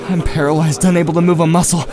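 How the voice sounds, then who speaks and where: A man's voice: fairly high pitch, flat delivery, fast, music in the background, close to an everyday voice. An actor playing an anxious, volatile teenage boy who narrates his own story with tense intensity in an audio drama. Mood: agonised. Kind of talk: first-person dramatic narration.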